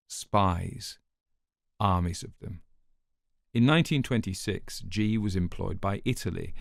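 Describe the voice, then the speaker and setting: A man giving a scripted literary narration in a measured, conversational storytelling manner, as if reading a letter aloud. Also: on the low side, vocal fry, dry recording, clean studio sound. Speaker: audiobook narrator.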